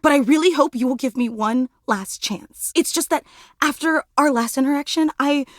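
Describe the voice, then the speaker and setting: Woman voicing a high-pitched, gushing, anxious superfan. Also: moderate pace, no echo, studio quality, lightly acted. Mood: adoring, hopeful. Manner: effusive, dramatic, self-deprecating. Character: gushing, anxious, adoring superfan, an animate household object. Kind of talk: video game character voice acting.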